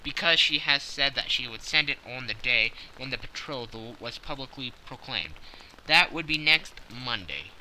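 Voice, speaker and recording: A man's voice: mid-range voice, lively intonation, normal speed, bright, forceful tone, slight background noise, rough recording. A man voicing a proud, anguished European king of thirty in an audiobook, speaking formally and with grave emphasis. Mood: desperate, resolute.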